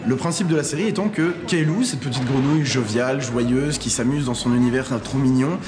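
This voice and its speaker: Homme au débit très rapide. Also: male voice, medium pitch. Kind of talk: making-of presentation. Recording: clear background noise.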